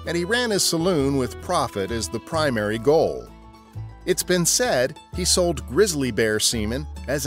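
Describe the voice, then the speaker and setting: Man narrating history with lively, swooping delivery. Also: medium pitch. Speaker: podcast host and narrator.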